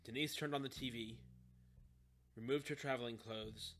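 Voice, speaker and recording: A man's voice: medium pitch, fairly even intonation, moderate pace, fairly bright tone, a little hiss or hum. A man reading a scripted story as an audiobook narrator.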